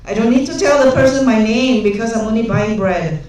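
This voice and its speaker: A woman's voice: on the high side, a little fast, far from the mic, a crowd in the background. Chatty woman speaking in an echoey hall. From Singapore. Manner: humorous, chatty storytelling with many fillers. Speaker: conference speaker. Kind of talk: conference talk with anecdotes. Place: large conference hall.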